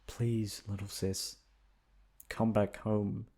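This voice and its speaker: A man's voice: fairly low pitch, level tone, takes it slowly, mellow, slightly rough. A man voicing a worried older brother in a fantasy tale, earnest and imploring. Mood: sad, pleading.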